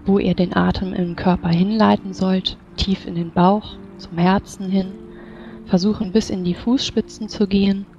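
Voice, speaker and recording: A woman's voice: medium pitch, gravelly. A woman narrating a tabletop roleplaying game as game master. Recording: slightly dull, music behind. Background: soft music.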